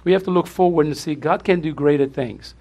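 A pastor with a Portuguese accent greets his congregation in a raspy, gravelly voice that sits a little high for a man. He is effusive and repeats himself, laughing often as he thanks people. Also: brisk, a little mellow. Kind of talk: church service welcome.

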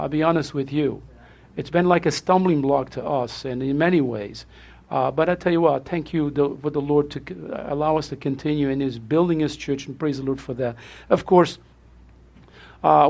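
Gravelly-voiced pastor with a Portuguese accent. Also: male voice, fairly high pitch, a little mellow. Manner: effusive, repeats himself, laughs often. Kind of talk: church service welcome.

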